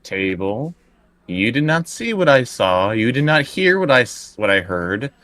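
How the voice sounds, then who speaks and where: A man's voice: medium pitch, big pitch swings, measured pace, a bit bright, dry recording. A man playing a frightened, insistent college student in a tabletop horror game, stammering and repeating himself. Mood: alarmed, insistent.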